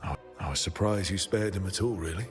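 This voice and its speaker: An actor playing a calm, curious, gently teasing adventurer leader in a video game, with a conversational, questioning manner. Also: male voice, low voice, a little fast, soft background music, close to an everyday voice. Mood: probing.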